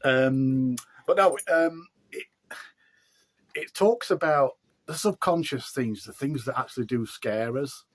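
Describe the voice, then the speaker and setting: A man's voice: mid-range voice, takes it slowly. A middle-aged man with a broad Yorkshire accent, an author chatting casually in an interview, rambling with fillers and restarts.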